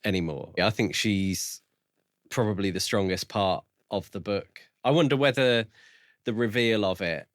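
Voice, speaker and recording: A man with a fairly low voice chats as a podcast host. He offers opinions casually and tentatively, thinking them through as he talks. The recording is very dry, with no audible room. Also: normal speed, no echo. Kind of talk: casual book review discussion.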